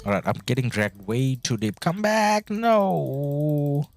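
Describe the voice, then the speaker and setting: A man's voice: on the high side, expressive, takes it slowly. A man from Bangladesh whose first language is Bengali, chatting casually while streaming a strategy game and answering viewers' chat in a rambling way. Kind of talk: casual live-stream commentary. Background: background music.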